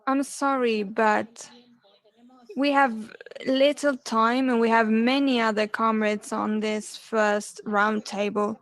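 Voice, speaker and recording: A woman giving live interpretation of a panel discussion, speaking in halting phrases with pauses and self-corrections. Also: fairly high pitch, fairly even intonation, no room sound. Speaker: simultaneous interpreter.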